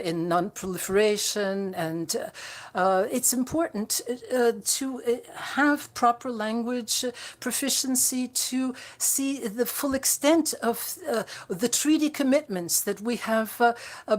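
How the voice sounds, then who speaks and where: A woman interpreting a conference speech live, halting often with fillers and restarts. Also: on the high side. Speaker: simultaneous interpreter.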